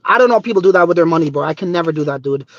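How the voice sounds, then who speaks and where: High-voiced excitable man, dull phone audio. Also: racing, no echo. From Trinidad. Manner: excitable, swears a lot, says bro and dude often. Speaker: crypto livestream host. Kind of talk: casual livestream chat.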